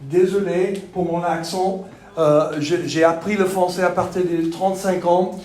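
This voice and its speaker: A man from Texas with a Texan accent, speaking French as a second language, preaching a sermon with jokes and halting fillers. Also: high-pitched, fairly even intonation, a little mellow. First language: English. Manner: joking, self-deprecating, halting with fillers. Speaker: pastor. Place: church hall. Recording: echoey church hall.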